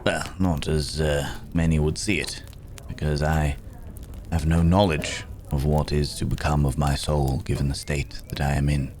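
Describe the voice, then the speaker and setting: A man's voice: rumbling low, level tone, a little mellow, slight background noise. A man playing a weary, philosophical werewolf on the run, musing hesitantly in an intimate ASMR roleplay. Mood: brooding, musing. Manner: musing, hesitant, speaking in unhurried broken phrases. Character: weary, philosophical male werewolf, a hunted wandering companion.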